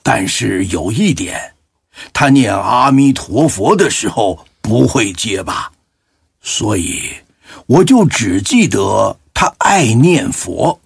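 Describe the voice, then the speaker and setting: A man plays an old village Buddhist in an audio drama. His voice is very raspy and creaky, and he recalls things slowly with firm certainty. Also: lowish voice, sing-song, dry recording, clean studio sound, heavily acted. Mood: hesitant, fond. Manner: emphatic, unhurried storytelling. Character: certain, plain-spoken elderly lay Buddhist villager.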